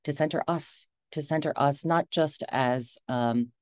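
A low-voiced woman in her forties talks on a video call as an interview guest. She is animated, restarts often and laughs easily. The sound is dry and close. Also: unhurried, no room sound. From United States.

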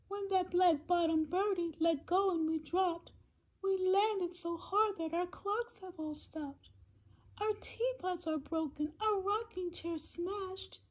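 A woman's voice: very high-pitched, voice pushed up. A woman voicing a tiny, earnest, polite mayor of a miniature town in a small, thin voice, speaking courteously in storybook rhyme. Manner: pleading, courteous rhyming speech.

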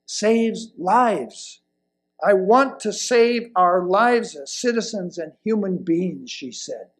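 An elderly man reading a sermon from a lectern, with deliberate, emphatic phrasing and pauses. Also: sits high, animated. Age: older, around 70s or 80s. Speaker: lay presenter reading a sermon. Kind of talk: sermon read from a pulpit. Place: indoors at a wooden lectern in a church hall. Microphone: lapel mic.

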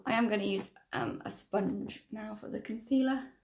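A woman in her thirties with a croaky, tiring voice, chatting and correcting herself as she presents a casual tutorial to camera. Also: medium pitch, moderate pace, a little mellow, echoey room, slight background noise. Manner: chatty, rambling, self-correcting. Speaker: makeup tutorial vlogger. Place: small room indoors.

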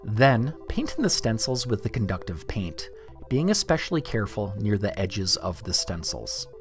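A man reading a scripted DIY tutorial narration in a clear, step-by-step instructional way. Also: lowish voice, very animated, moderate pace, faint music behind.

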